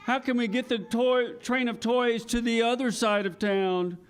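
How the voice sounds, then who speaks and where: A man's voice: very high voice, fairly even intonation, unhurried, clean tone. A man playing a worried, eager little toy train in a children's audio theater show. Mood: worried, pleading. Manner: chanting train sounds, repeating cries for help.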